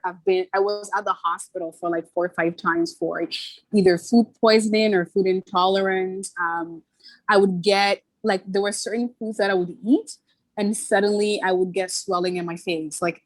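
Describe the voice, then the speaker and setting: A woman's voice: medium pitch, fairly even intonation, no room sound, poor audio. A young woman born in Ghana and raised in Toronto, a podcast guest telling her story with earnest candor and easy laughs. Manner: earnest, candid, quick to laugh. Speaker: podcast guest, brand founder. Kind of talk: casual interview storytelling.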